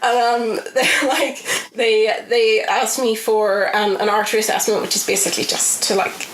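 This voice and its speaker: A woman's voice: mid-range voice, steady tone, moderate pace. A woman telling a casual story as a podcast guest, acting out reactions and quotes as she goes. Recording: roomy echo with faint noise.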